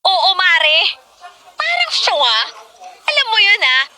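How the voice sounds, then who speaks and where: Actress as a high-pitched, animated, exasperated young girlfriend. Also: slow pace, slight background noise, exaggerated voice. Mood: gossipy, baffled. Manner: dramatic, gossipy, exclamatory. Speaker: drama narrator and lead actress. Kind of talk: confessional radio-style drama acting.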